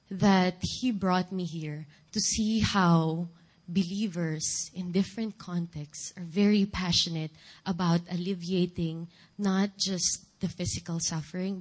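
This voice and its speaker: A woman with a Filipino accent giving a church testimony in deliberate public speech, with rhetorical questions. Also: lowish voice, darkish tone. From Philippines. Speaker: guest speaker giving testimony. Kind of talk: church sermon and personal testimony.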